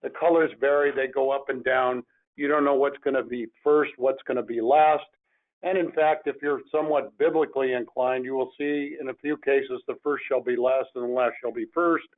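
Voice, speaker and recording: An older man giving an explanatory financial presentation as investment chair, measured and halting, going step by step. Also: medium pitch, steady tone. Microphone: phone or webinar call. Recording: narrow tinny phone line.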